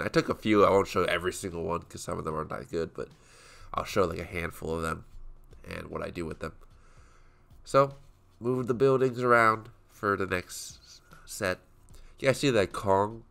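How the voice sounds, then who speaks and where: A man's voice: deep voice, creaky, fairly bright tone. A young man with a stuffed-up nose chatting casually while arranging toys for photos at his desk, rambling and correcting himself as he goes. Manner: rambling, self-correcting, offhand. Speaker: toy photography YouTuber. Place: home desk with small photo set.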